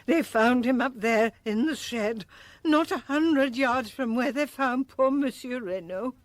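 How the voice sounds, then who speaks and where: Woman playing high-pitched, melodramatic housemaid. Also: measured pace, no room sound, close to an everyday voice. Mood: alarmed. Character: superstitious, agitated French housemaid, dramatic and indignant. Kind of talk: radio drama dialogue.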